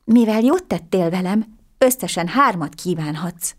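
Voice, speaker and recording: A woman voicing a gentle, wise magical diamond fish in a storybook tale, speaking softly and chanting a spell. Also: lowish voice, animated. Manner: soft, measured, chanting.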